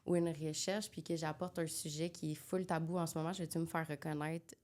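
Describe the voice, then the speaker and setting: A woman's voice: deep voice, level tone, a little fast, darkish tone. A young woman from Quebec with a Québécois accent, co-hosting a casual podcast chat, chatty and mixing English into her French. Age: young adult. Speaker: podcast co-host.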